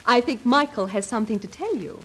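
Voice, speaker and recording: Tart, high-voiced young sister. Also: female voice, expressive, brisk, a bit bright, a little hiss or hum. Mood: cool, dry. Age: young adult. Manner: crisp, dry, teasing. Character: sensible, tart young English sister and daughter. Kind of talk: radio comedy acting.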